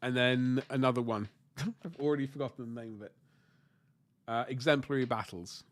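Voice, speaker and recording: A man's voice: mid-range voice, normal speed. A man with a stuffy nose and sore throat from flu, chatting casually with viewers while he paints miniatures, rambling and correcting himself. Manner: rambling, self-correcting, mild swearing. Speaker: livestream host painting miniatures. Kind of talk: casual chat answering viewer comments.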